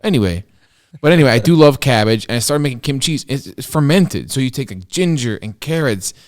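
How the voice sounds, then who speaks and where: A man co-hosting a podcast, with a mid-pitched voice. He rambles enthusiastically through food explanations and teases his partner. The recording is dry and close, with no room sound. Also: expressive, brisk, dry recording. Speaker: podcast co-host. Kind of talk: casual banter.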